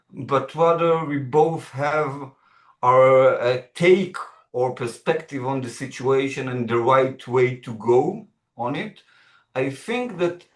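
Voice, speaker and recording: A man co-hosting an online panel discussion, measured and thoughtful, pausing and restarting as he works through his points. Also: on the high side, reverberant. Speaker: founder of a Middle East center, co-host. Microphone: video call.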